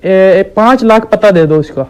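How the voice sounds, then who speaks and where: A man playing a slow-witted, stubborn village shopkeeper on a deadpan prank call, hesitant and naively persistent. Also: high-pitched, clean tone. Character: dim, stubborn village shopkeeper ordering absurd medicine quantities. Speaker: prank caller.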